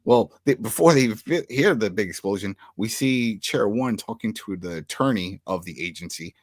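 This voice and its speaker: Animated man chatting, lively pitch swings. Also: moderate pace, no echo. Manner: animated retelling with acted-out quotes. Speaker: co-host of a TV recap show. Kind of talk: casual chat recapping and reacting to a TV episode.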